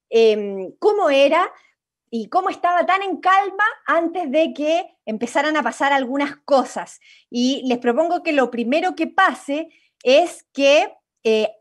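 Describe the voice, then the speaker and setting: A young woman with a Chilean accent and a high-pitched voice. She guides a group informally and encouragingly, speaking from indoors over a video call. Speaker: radio theatre workshop leader. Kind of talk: informal guiding and instruction.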